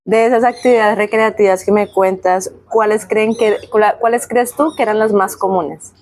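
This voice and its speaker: A woman's voice: mid-range voice, steady tone, moderate pace, a little mellow, a little hiss or hum. A woman asking informal prepared interview questions, hesitant and rephrasing as she goes.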